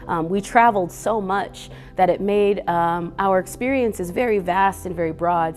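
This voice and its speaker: A woman's voice: mid-range voice, darkish tone. A woman speaking earnestly and unhurriedly to children as a guest reader, with a few fillers. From Boise, Idaho. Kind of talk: gentle talk to kids.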